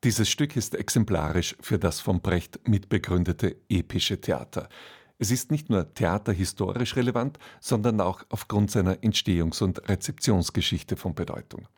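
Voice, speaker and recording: A man presenting on radio, reading a scripted introduction to a play in a slightly husky voice. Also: deep voice, normal speed, a little mellow.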